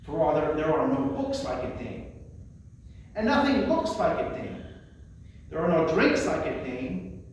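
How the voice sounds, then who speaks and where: Echoey, high-pitched man reciting poetry. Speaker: librarian presenting a poetry reading. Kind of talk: poetry recitation with spoken introductions. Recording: roomy echo, distant mic, faint noise.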